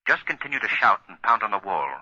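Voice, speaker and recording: An actor playing an urbane, assured gentleman crime-fighter with dry understatement, in 1940s radio drama style. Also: lowish voice, expressive, fast, exaggerated voice. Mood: commanding. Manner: assured, dryly understated, crisp orders. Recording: dull, lo-fi 1940s radio recording.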